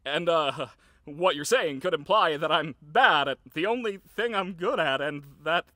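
A man's voice: fairly high pitch, a little slow, bright, forceful tone, no echo, studio quality. A man voicing a manic, theatrical cartoon ringmaster made of dentures, with a bombastic, overenthusiastic showman's manner. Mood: fragile, rattled. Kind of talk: animated cartoon voice acting.

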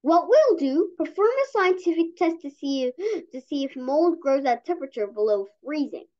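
Very high child-like voice, lively intonation, halting read-aloud of a comic, dull lo-fi sound. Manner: halting reading, stumbles and repeats words. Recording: dull lo-fi recording.

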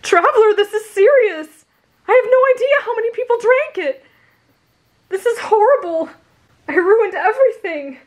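A woman's voice: high voice, animated, normal speed, voice pushed up. A woman doing a frantic, stammering teen boy hero. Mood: distraught. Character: flustered earnest teenage hero boy.

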